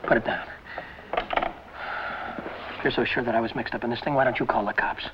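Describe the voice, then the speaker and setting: An actor playing a tense, cornered auto mechanic in 1950 film noir style, blunt, defensive and slangy. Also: male voice, medium pitch, fairly even intonation, brisk, close to an everyday voice. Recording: muffled, noisy old film soundtrack.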